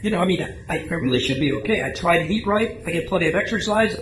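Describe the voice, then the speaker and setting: A man's voice: sits high, lively intonation, fast talker, fairly bright tone. A man playing an anxious, health-worried office worker in a radio play, hesitant and stammering. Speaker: amateur radio drama actor. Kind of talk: live radio play reading. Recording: echoey room, faint background noise.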